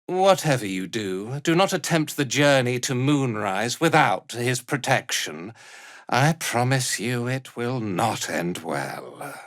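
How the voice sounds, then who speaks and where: A man's voice: medium pitch, animated, takes it slowly, a bit bright, no echo. A man playing a haughty, cruel drow cult commander in a fantasy video game, speaking in an imperious, menacing, theatrical way. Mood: threatening. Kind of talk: dramatic fantasy game voice acting.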